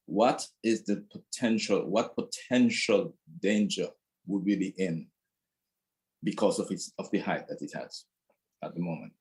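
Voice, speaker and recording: Male reporter at a press conference, lowish voice, rambles and restarts while getting his question out. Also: a little mellow. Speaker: journalist asking questions.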